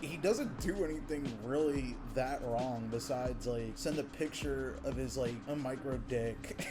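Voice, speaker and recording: A man giving casual, rambling video commentary on game characters, full of slang and swearing. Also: medium pitch. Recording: music behind the voice. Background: music.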